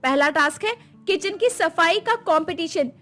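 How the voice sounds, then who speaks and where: A woman's voice: very high-pitched, lively intonation, normal speed, fairly bright tone. A woman voicing a bossy, theatrical young daughter-in-law who announces rules like a reality-show host in a dramatic family story. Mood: commanding. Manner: theatrical, commanding, sprinkles English words.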